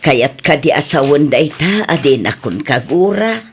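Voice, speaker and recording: A woman's voice: deep voice, lively intonation, unhurried, a little hiss or hum. A woman playing a kindly, forgiving older mother-in-law in a radio comedy drama, with an Ilocano Filipino accent.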